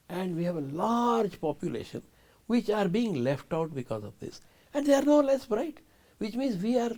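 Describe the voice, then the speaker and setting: A man's voice: high-pitched, mellow. An older man, a guest scientist in a studio interview, arguing emphatically and asking rhetorical questions.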